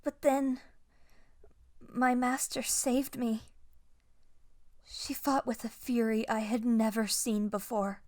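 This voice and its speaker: A woman playing a wounded young Jedi survivor in an audio roleplay, gentle and haunted, with a soft, hesitant delivery. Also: a little high, little pitch movement, takes it slowly, pauses often, dry recording, clean studio sound. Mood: fearful, hushed. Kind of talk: dramatic first-person audio roleplay.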